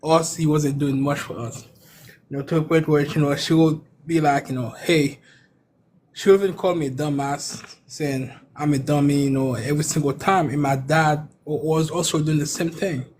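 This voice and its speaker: A man from Haiti with a Haitian accent, telling a personal story in a halting, stammering way. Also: fairly high pitch, fairly even intonation, darkish tone, slight background noise. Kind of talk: personal story in casual live chat.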